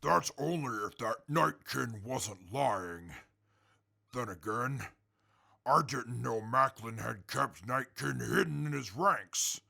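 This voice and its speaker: Casting: proud mutant warrior, very gravelly, deliberate pace, formal and menacing, quick to indignation, post-apocalyptic radio drama. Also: male voice, medium pitch, animated, pushed and bright, full character voice. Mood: brooding, resentful. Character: proud, irritable male super mutant warrior in captivity.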